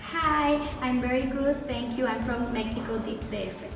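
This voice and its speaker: A woman's voice: a little high, normal speed, distant mic, natural voice. A woman voicing a lazy, carefree Mexican interviewee who drops Spanish slang. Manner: casual, laid-back, Spanish slang. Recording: echoey room, music behind.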